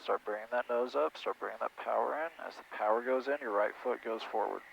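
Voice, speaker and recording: A man's voice: medium pitch, fast talker, gravelly. A man giving casual flight instruction through an aviation headset in a small airplane. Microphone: aviation headset intercom. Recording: dull intercom audio, faint cockpit noise.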